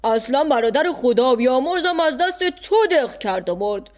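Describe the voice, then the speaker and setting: A woman's voice: high voice, lively intonation, pitched above the performer's own voice. A woman voicing a stern, protective middle-aged uncle who scolds curtly in a storybook tale. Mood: scolding. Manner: curt, scolding.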